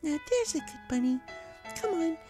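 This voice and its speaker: A man voicing a timid, gentle young female pegasus pony in a soft falsetto, polite and hesitant, in a story reading. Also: animated, moderate pace, soft background music. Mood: gently coaxing.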